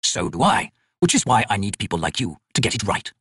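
Grizzled veteran commander, played by a male narrator, gruff husky growl, measured authority, fantasy audiobook. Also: fairly low pitch, sing-song, a bit bright, dry recording, clean studio sound, exaggerated voice. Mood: dry, stern.

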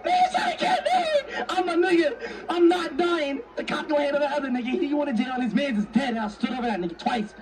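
A man playing a roleplay game on stream, excitable, slangy and profane, acting in character. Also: extremely high pitch, fairly quick, few pauses, recorded from a distance, background noise.

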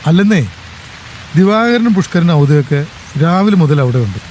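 A man playing a proud older farmer in a radio drama, with a Kerala Malayalam accent and a steady, fatherly way of talking. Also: fairly high pitch, normal speed, slight background noise. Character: proud aging farmer devoted to his land. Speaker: old farmer, husband and father.

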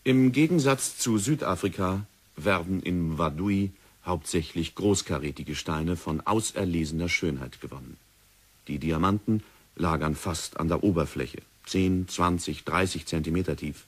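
A man narrating a 1960s radio play in a measured, sober storytelling manner. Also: low-pitched, breathy edge. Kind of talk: scripted documentary narration. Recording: old radio play recording.

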